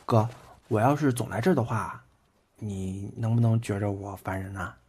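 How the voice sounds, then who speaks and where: An actor playing a teasing, boyish, devoted twenty-year-old boyfriend in intimate audio drama banter, in a colloquial way. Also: male voice, lowish voice, moderate pace, a little mellow, breathy edge. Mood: playful. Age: young adult. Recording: background music under the voice.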